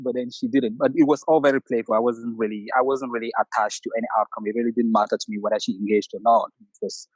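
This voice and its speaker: A middle-aged man from Cameroon with a Cameroonian accent, calling in to tell a personal story in a halting way with many restarts. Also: medium pitch, a little mellow, dry recording, muffled recording. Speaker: caller. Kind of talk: personal story on a call-in show.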